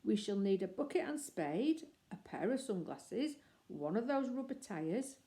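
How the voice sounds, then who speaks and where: A woman voicing a brisk, cheerful middle-aged father in a storybook. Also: medium pitch, very animated, mellow.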